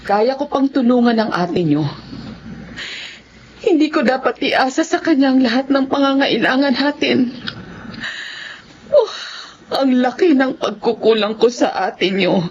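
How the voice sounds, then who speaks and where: A woman's voice: sits high, lively intonation, a little slow, pauses often. An actress playing a devoted, hardworking middle-aged mother in a Filipino melodrama, earnest and emotional. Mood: determined. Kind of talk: Filipino radio-style melodrama acting. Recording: muffled lo-fi audio, faint background noise.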